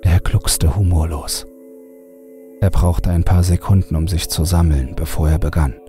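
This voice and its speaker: Very deep, slow male horror narrator. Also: fairly even intonation, mellow, faint music behind. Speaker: audiobook narrator. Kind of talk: scripted horror story narration.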